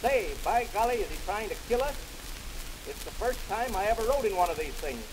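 Hissy 1920s comedy record, man acting a hearty folksy pal, high voice, amazed by everything. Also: animated, brisk. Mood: amused, amazed. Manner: exclaiming, folksy. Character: hearty, easily amazed male friend, Jack.

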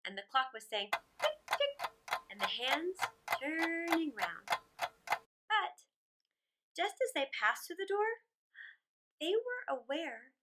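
High, animated woman storyteller. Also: noisy background. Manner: theatrical, deliberate phrasing. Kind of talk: expressive children's fairy tale read-aloud. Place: small indoor room.

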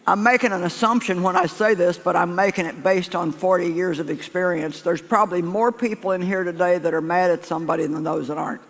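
A man's voice: sits high, steady tone, fairly quick, hardly any pauses, a bit bright. An older man preaching a sermon to a live congregation in a folksy, conversational way, often restarting phrases and repeating points. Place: large church sanctuary. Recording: echoey church hall.

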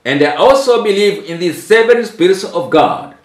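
A Tanzanian man in his seventies with a Tanzanian accent, a preacher speaking deliberately, phrase by phrase, often repeating himself. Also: sits high, big pitch swings. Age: 70s. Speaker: archbishop. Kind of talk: religious address given phrase by phrase for an interpreter. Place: small tiled room indoors. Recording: echoey room.

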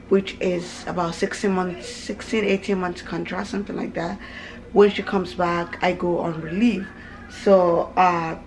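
A woman's voice: fairly low pitch, rough recording. A woman in her thirties chatting casually to a camera at arm's length in a home kitchen, repeating words and drifting into asides. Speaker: family vlogger and mother. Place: small home kitchen, indoors. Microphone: camera held at arm's length.

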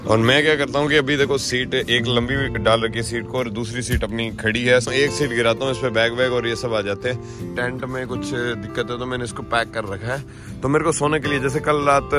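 Man in his thirties, travel vlogger, chatty, handheld camera outdoors, music bed under voice. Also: medium pitch. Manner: chatty, addresses viewers directly. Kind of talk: casual vlog narration. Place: outdoors by a car camp and roadside. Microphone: handheld camera at arm's length.

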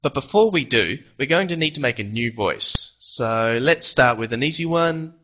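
A young man narrating a scripted software tutorial in a casual, playful manner. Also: medium pitch, expressive, normal speed, a little hiss or hum. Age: young adult.

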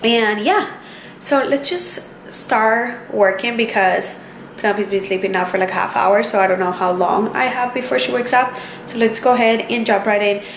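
A young woman and mother chatting casually to the camera in her home kitchen, relaxed and a little rambling. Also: on the high side, normal speed, a little hiss or hum, low-quality recording. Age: young adult. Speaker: vlogger, mom.

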